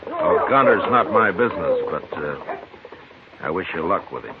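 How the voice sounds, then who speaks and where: An American actor playing a calm, wry, authoritative frontier marshal in an old radio western. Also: male voice, sits low, moderate pace. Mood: calm, guarded. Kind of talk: 1950s radio western acting. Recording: noisy, tinny old radio recording.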